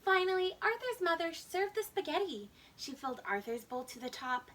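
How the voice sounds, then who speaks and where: A woman hosting storytime, narrating a children's story in an animated, expressive way. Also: very high voice, moderate pace. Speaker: storytime host and reader. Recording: slightly distant microphone.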